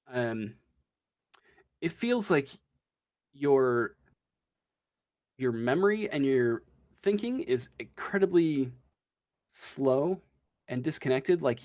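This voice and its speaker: A man working as game master, improvising a tabletop role-playing story in a halting, drawn-out way. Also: a little high.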